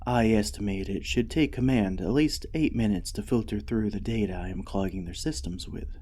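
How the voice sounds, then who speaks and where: A man voicing a gentle, devoted mech AI in a soft, soothing, earnest ASMR roleplay. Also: on the low side, normal speed, close to an everyday voice. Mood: gentle, reassuring. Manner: soothing, earnest, hesitant, often dropping to a whisper. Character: gentle, devoted mech artificial intelligence comforting its pilot.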